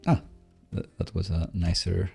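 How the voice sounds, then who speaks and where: Low-pitched man thinking aloud while live coding. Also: steady tone, gravelly, dark tone, breathy edge, faint music behind. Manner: halting, thinking aloud as he works.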